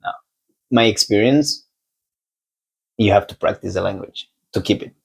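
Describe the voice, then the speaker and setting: A young man from Spain with a Spanish accent, a podcast guest talking in a relaxed, halting way and laughing often. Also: fairly low pitch, vocal fry, slightly rough. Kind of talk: casual conversation.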